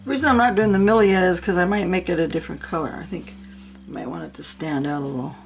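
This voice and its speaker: A woman's voice: lowish voice, quick pace, gravelly, slight background noise. A middle-aged woman, a little sick and sniffling, chatting casually and encouragingly as she talks through a coloring tutorial. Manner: chatty and encouraging, talks to herself while working.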